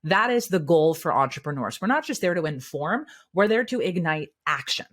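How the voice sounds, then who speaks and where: A woman speaking coach, a guest on an interview show, giving candid and energetic advice. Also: fairly low pitch, normal speed.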